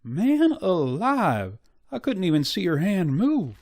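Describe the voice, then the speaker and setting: A man's voice: high voice, huge pitch swings, takes it slowly, darkish tone, full character voice. A man playing a folksy, deferential deputy in a Western radio drama, with drawling phrases. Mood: amazed. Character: folksy, deferential male deputy in the Old West.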